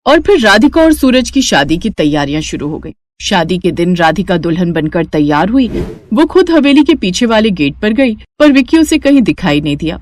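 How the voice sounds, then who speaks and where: A woman's voice: medium pitch, very animated, moderate pace, a little mellow, no room sound. A woman narrating a scripted family story.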